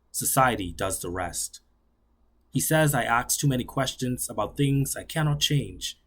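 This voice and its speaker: A young man from the Bahamas, reading his own poem aloud in a measured, solemn way that slows and sinks on the closing lines. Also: medium pitch, a little hiss or hum. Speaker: graduate student poet. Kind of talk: spoken-word poetry reading.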